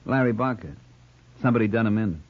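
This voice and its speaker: An American actor playing a sleazy, wisecracking private detective and blackmailer in an old radio crime drama, with a husky voice. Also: male voice, on the low side, lively intonation, fast. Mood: breezy, mocking. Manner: wisecracking, insinuating slang. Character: sleazy male private detective, brazen blackmailer, needling and slangy. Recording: muffled old radio recording.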